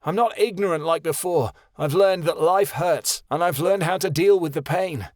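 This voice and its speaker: A man's voice: on the high side, lively intonation, moderate pace, no room sound, clean studio sound, voice pushed up, lightly acted. A man voicing a furious grieving teenage son. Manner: shouting, bitter.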